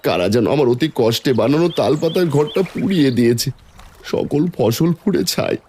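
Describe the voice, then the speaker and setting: A man voicing a humble, anguished village farmer in an animated fairy tale melodrama. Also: medium pitch, moderate pace, a little hiss or hum, natural voice. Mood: pleading. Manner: pleading, anguished.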